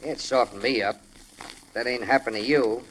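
A man's voice: mid-range voice, steady tone, moderate pace, a bit bright, close to an everyday voice. On a faintly noisy old radio recording, a male actor plays a tough ex-convict butler. He is blunt and menacing, needling people in wisecracking slang, in the manner of a 1940s radio crime drama. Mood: quietly menacing.

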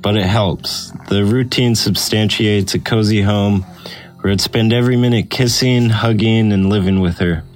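Low-voiced man reading a personal essay. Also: steady tone, normal speed, faint music behind. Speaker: essay reader.

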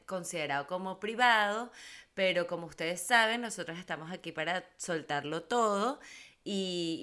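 A woman co-hosting a podcast in an expressive, colloquial, storytelling way. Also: lowish voice. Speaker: podcast co-host. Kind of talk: casual conversational podcast talk.

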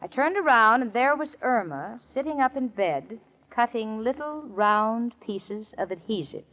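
Sensible, fed-up young female roommate, 1940s radio comedy. Slow, theatrical timing, bright tone, noisy old recording. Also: fairly high pitch, expressive, dull audio. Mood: wry narrating. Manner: exasperated, theatrical, wry. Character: exasperated young woman roommate, sensible, sarcastic, long-suffering. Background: studio audience, hiss.

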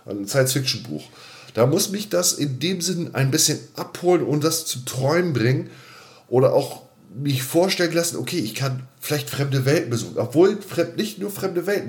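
A man's voice: fairly high pitch, animated, moderate pace. A man co-hosting a podcast in casual fan chat, hesitant and roundabout, often repeating himself. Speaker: podcast co-host.

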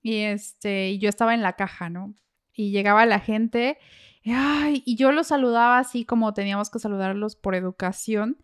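A woman's voice: medium pitch, normal speed, clear, resonant tone. A woman with a Mexican accent hosting a podcast, chatting casually and colloquially as she tells stories and asks questions. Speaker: podcast host. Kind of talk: casual chat.

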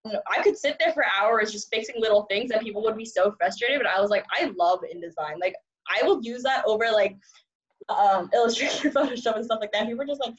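A woman's voice: a little high, quick pace, lo-fi sound. A young woman in her twenties whose first language is Cantonese, chatting in an interview with a rambling, upbeat manner, laughing often. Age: 20s. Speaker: journalism student guest. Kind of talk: casual interview chat.

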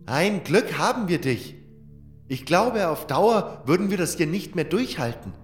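A weary male adventurer from a fantasy audiobook, performed by a man, has a lightish, higher voice and sounds earnest and anxious. The recording echoes, with music underneath. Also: very animated, measured pace. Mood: relieved. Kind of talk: audiobook character acting.

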